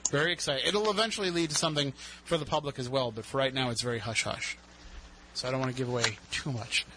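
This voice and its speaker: A man's voice: mid-range voice, quick pace, noisy background. A man hosting a talk radio show, chatty and jokey with lots of fillers. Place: radio studio.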